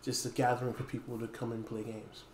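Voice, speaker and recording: A man in his thirties talking casually to camera as a store owner making an announcement, pausing often mid-phrase. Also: mid-range voice, level tone, a little mellow. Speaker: small game store owner and video host. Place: dim indoor room with wooden floor. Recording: echoey room, faint background noise.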